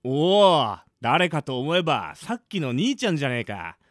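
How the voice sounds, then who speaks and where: A man playing a scheming, folksy ghost with rough, wheedling speech in a radio drama. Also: on the high side, sing-song, moderate pace, dry recording, studio quality. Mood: jovial. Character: scheming rough male ghost, folksy drinker, falsely friendly. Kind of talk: amateur radio drama acting.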